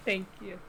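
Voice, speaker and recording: A woman whose first language is Tagalog, co-hosting a stream in casual, chatty talk and laughing easily. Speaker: co-host. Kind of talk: casual chat.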